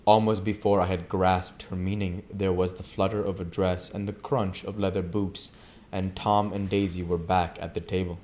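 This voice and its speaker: A man reading a classic novel aloud as an amateur audiobook reader, with deliberate, emphatic, theatrical delivery. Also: low-pitched, level tone, darkish tone.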